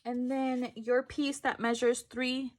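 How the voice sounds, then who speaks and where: A woman's voice: high-pitched, flat delivery, slow. A woman giving a casual step-by-step craft tutorial, informal, correcting herself and thinking aloud as she goes.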